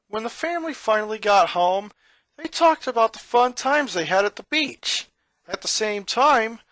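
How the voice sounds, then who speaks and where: A storyteller narrates scripted fiction in a mid-pitched voice. The delivery is dramatic and highly varied in melody, and the sound is dry with no room echo. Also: fairly bright tone. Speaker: narrator reading fan fiction aloud.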